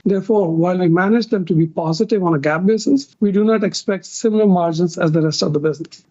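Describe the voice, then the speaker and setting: A man's voice: high-pitched, warm tone. A man giving a formal, prepared financial presentation as a company executive on an earnings call. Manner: formal, reading from prepared remarks. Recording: dull, muffled conference call audio.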